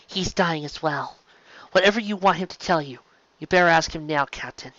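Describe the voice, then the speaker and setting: A man's voice: fairly high pitch, normal speed, pushed and bright, no echo, highs cut off, voice pushed up. A man voicing a weary, shaken crew member reporting on a disaster in a science fiction story. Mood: grave.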